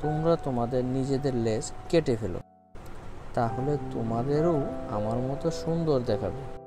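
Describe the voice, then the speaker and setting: A man's voice: medium pitch, normal speed, lightly acted. A man voicing a boastful, sly fox who steals chickens in a cartoon fable, self-satisfied in manner. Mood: persuasive, scheming. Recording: music under the voice.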